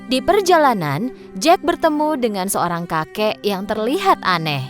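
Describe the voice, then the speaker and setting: A woman's voice: mid-range voice, big pitch swings, measured pace, soft background music. A woman narrating a scripted fairy tale for children.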